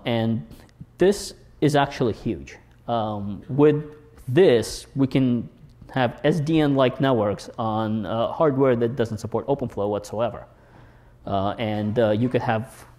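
A young man speaks on a conference stage through a lapel mic. His mellow voice sounds relaxed and wry, and he leans on fillers and quick restarts as he explains technical ideas. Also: mid-range voice. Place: large conference hall on stage.

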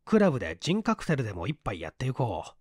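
Lively-pitched male audiobook narrator, dry sound. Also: fast talker, no echo. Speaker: audiobook reader, stage actor. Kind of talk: scripted literary narration of a mystery story.

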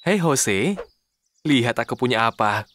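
A man voicing a caring, slightly vain companion in a children's cartoon, speaking in an expressive, friendly and reassuring way. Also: medium pitch, a little slow, noisy background, lightly acted. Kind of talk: animated children's cartoon dub.

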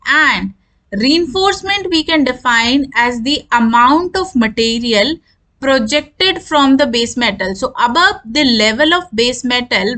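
Lady teacher doing an online lecture, high bright voice, repeats definitions carefully. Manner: repetitive, deliberate explaining. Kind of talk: instructional lecture explaining technical terms.

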